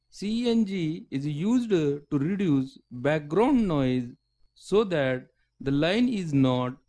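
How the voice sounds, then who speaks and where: Slow, halting male lecturer, slightly high-pitched. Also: mellow. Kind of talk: scripted technical narration read aloud.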